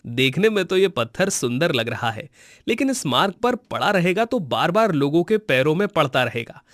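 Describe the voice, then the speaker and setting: Man playing a devout village butcher, slightly high voice. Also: very animated, moderate pace, fairly bright tone, no room sound, clean studio sound. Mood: curious, musing. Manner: earnest, pious. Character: devout, humble male village butcher, gentle and wondering. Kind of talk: cartoon moral-story voice acting.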